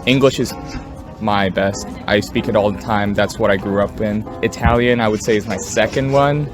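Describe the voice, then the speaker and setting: Relaxed young man with a low voice. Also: normal speed, music in the background. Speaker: interviewee. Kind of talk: casual interview answers. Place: outdoors on a campus.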